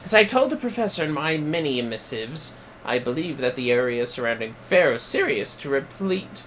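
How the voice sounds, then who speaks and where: A man's voice: medium pitch, fairly bright tone, slight background noise, poor audio. A man voicing a pompous, irritable scholar from a fantasy game, reading the dialogue in a formal, wordy, haughty way.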